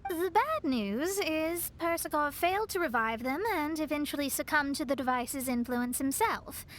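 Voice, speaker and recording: High-voiced, articulate young woman scholar. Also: animated, moderate pace, a little hiss or hum. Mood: explanatory. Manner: articulate, matter-of-fact. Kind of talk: video game cutscene acting.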